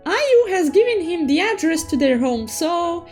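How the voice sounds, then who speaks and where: Very high squeaky pitch, cute unicorn narrator, bubbly, dramatic, unhurried, animated story recap, music behind. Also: female voice, exaggerated voice. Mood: intrigued. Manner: playful, theatrical exclamations. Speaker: storytelling host.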